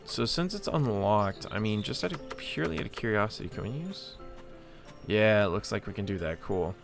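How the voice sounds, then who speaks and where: A man's voice: on the low side, expressive, normal speed, vocal fry, music in the background. A man hosting a game playthrough with casual commentary, hesitant and rambling as he thinks aloud. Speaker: host of a gameplay channel.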